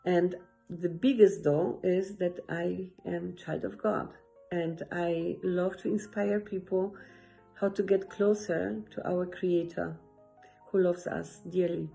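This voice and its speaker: A middle-aged woman from Poland, a social worker and language teacher, introducing herself warmly. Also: on the low side, steady tone, slow pace, creaky, soft background music. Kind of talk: personal introduction.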